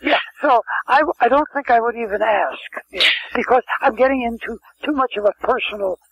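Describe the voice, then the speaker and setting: A man's voice: very high-pitched, brisk, vocal fry, husky. An older man telling rambling anecdotes as an interview guest, often restarting and wandering into asides. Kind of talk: casual storytelling interview. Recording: muffled lo-fi phone-quality sound.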